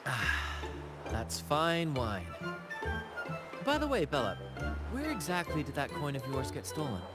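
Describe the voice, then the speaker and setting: Flamboyant, high-pitched pirate showman. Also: wildly swooping pitch, a little slow. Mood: easygoing, charming. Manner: theatrical, declamatory showman delivery. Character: flamboyant young male pirate showman captain. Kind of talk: theatrical video game voice acting. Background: game background music.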